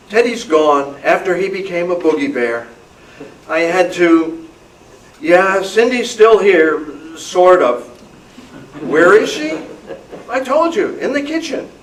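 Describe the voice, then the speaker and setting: Little boy for a spooky radio play, played by a man: high, lively, innocently creepy, in an echoey room. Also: takes it slowly, pauses often, full character voice. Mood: innocent, matter-of-fact. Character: eerily innocent young boy obsessed with boogeymen. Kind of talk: amateur radio thriller acting. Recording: echoey room, distant mic, faint noise.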